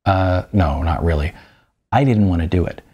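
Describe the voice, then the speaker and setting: A man voicing a weary, self-deprecating writer of almost fifty, halting and sardonic, in an audiobook. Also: sits low, warm tone, slightly rough, highs cut off, pitched below the performer's own voice. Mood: sheepish, defensive.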